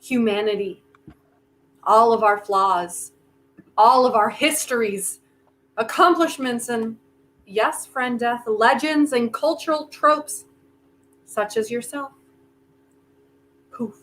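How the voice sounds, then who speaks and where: A woman playing a grave, conflicted human who holds apocalyptic power, speaking deliberately and philosophically in a play reading. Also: a little high, a bit bright, a little hiss or hum. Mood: grave, wry. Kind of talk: virtual staged play reading.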